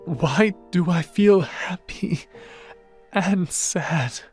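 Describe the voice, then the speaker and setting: A woman's voice: fairly low pitch, a little mellow, breathy edge, soft background music, heavily acted. A woman voicing a melancholy, wistful revenant pilgrim in a fantasy game, speaking solemnly. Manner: slow, solemn.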